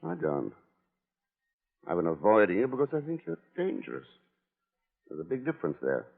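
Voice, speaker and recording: Low-voiced, wry secret agent. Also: male voice, wildly swooping pitch, normal speed, creaky, exaggerated voice. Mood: guarded banter. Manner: dry, commanding, clipped. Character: cool, wry, tough secret-agent hero. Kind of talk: 1940s radio acting. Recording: tinny phone-like old radio recording.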